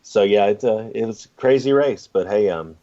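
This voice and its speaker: Through slightly muffled, lo-fi audio, a man with a deep voice chats about sports as a podcast co-host. His delivery is casual and easygoing, with some hesitation as he goes. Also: moderate pace, warm tone. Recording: dull, lo-fi audio.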